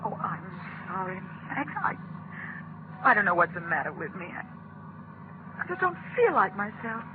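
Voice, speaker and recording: A woman's voice: mid-range voice, sing-song, normal speed, close to an everyday voice. An actress playing an anxious, doubting young woman in a 1940s radio drama, hesitant and fretful. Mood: weary, worried. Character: anxious, doubting young woman, devoted but easily rattled. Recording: noisy muffled 1940s radio recording.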